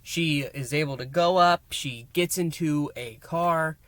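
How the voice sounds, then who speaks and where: A man hosting a review and recap, speaking in an emphatic, theatrical way with dramatic pauses. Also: on the high side, a bit bright, no room sound. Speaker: host, reviewer. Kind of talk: enthusiastic scripted-style recap and review to listeners.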